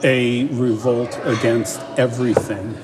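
A man in a casual talk show, making points in a measured way. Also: mid-range voice, little pitch movement, noisy background. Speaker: podcast co-host. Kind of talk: casual discussion.

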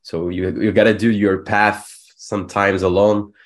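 Host: man, fairly deep voice, relaxed wording, casual slangy chat. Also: moderate pace. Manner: informal, slangy. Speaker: podcast host.

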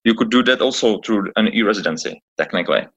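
A man's voice: fairly low pitch, expressive, fast, fairly bright tone, breathy edge, dull audio. A man from the Republic, a podcast guest who talks hesitantly and searches for words. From Czech Republic. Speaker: guest, chief of staff. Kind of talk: podcast interview. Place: office in Prague, on a call.

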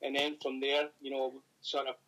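A Scottish man chatting casually as a podcast guest, talking fast and rambling with fillers. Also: medium pitch, completely flat delivery, pushed and bright, rough recording. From Scotland. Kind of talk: casual chat.

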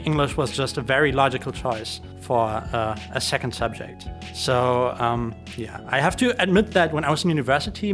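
Man in his thirties, German native speaker, measured and hesitant, mid-pitched, faint music behind. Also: fairly bright tone. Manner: measured, hesitant with many fillers. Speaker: teacher being interviewed. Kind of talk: thoughtful interview answers.

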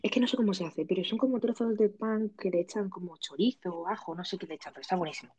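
A woman's voice: medium pitch, darkish tone, breathy edge. A woman with a Spanish accent, a podcast guest chatting casually and giggling often. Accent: Spanish from Spain. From Spain. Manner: chatty, drawn-out sighs, giggly.

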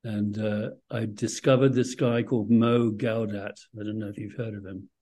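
A man's voice: fairly low pitch, flat delivery, normal speed, mellow. A man hosting a conversational interview, chatty and enthusiastic in his manner. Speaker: interview host.

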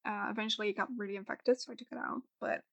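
A woman's voice: mid-range voice, steady tone, rapid-fire, vocal fry, fairly bright tone, no echo. A young woman co-hosting a podcast, talking casually with lots of fillers and restarts. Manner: rambling, many fillers and restarts. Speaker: podcast co-host.

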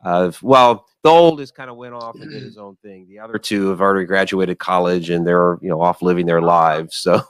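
Male guest in his 50s, measured and easygoing, low voice, dry close audio. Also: smooth, dry recording. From Ohio. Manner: easygoing, measured, stammers on starts. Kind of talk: casual interview.

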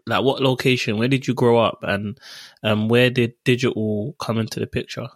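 A man's voice: mid-range voice, steady tone, normal speed, no echo, clean studio sound. A young man, speaking as a podcast host in a casual interview.

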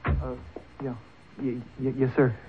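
An actor playing a cowardly, whimpering young son, stammering and nervous, in old-time radio drama style. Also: male voice, medium pitch, unhurried, over music, close to an everyday voice. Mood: frightened, pleading. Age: young adult. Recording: muffled phone-like old radio recording.

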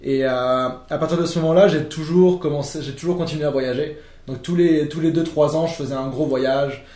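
A man's voice: a little high, fairly even intonation, brisk, warm tone. A young French man, a podcast guest, explaining at length in a casual conversation with many fillers and restarts. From France. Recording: echoey room.

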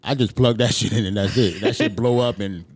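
A man's voice: fairly low pitch, a little fast, very noisy. A man in his thirties co-hosting a podcast in casual chat, laid-back, swearing and laughing often. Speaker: podcast co-host.

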